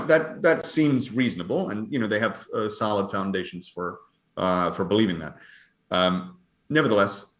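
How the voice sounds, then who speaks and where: A man answering interview questions conversationally as an astronomer, in a hesitant, halting, hedging manner. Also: fairly low pitch. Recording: roomy, echoing sound.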